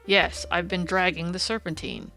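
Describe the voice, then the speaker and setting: A woman voicing a gruff, irritable policeman in an audiobook, snarling and emphatic with indignation. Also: fairly low pitch, fairly even intonation, moderate pace, bright, forceful tone, faint music behind. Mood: disgruntled. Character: gruff, irritable, blustering male police detective.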